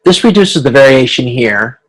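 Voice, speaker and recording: A man giving an informal lecture as an instructor on a video call, explaining slides in a halting way with repeated words and restarts. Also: mid-range voice, expressive, slightly rough, poor audio. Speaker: college instructor.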